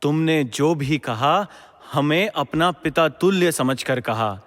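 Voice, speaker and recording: A dignified, benevolent monarch of mythological audio drama speaks with regal care. The pace is slow and measured, in long hall reverb. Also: male voice, a little high, fairly bright tone.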